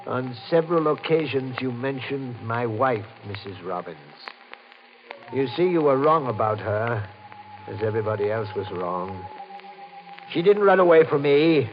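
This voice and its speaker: An actor playing a cold, calculating murderer, a property agent, in a menacing, deliberate, commanding 1950 radio mystery style. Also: male voice, medium pitch, lively intonation, soft background music, natural voice. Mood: menacing, gloating. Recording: muffled, hissy old radio recording.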